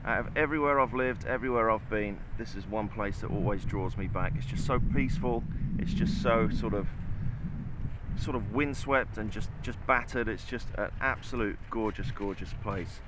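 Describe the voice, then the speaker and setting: A man's voice: on the low side, moderate pace. A man in his forties with a Suffolk English accent, chatting casually to an action camera outdoors on a beach, rambling and self-deprecating. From England. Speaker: outdoor vlogger. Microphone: action camera at arm's length. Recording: wind noise on the mic.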